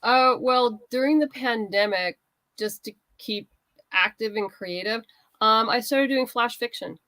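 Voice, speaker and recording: A woman's voice: on the high side, measured pace, fairly bright tone, no echo. A woman writer chatting as an interview guest, candid and conversational, explaining things in loose fragments. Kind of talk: casual interview chat.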